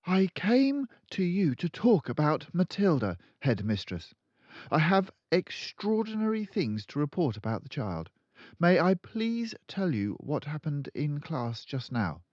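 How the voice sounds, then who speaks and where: A man's voice: on the high side, wildly swooping pitch, measured pace, a little mellow, no echo, lo-fi sound, pitched above the performer's own voice, full character voice. A man voicing an anxious but resolute young woman schoolteacher, polite and pleading, in a children's storybook reading. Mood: earnest. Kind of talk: children's audiobook character voice.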